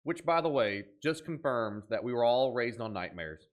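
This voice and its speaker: A man's voice: mid-range voice, moderate pace. A man hosting a reaction video, talking casually and dramatically as he comments on what he watches. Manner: animated, dramatic exclamations.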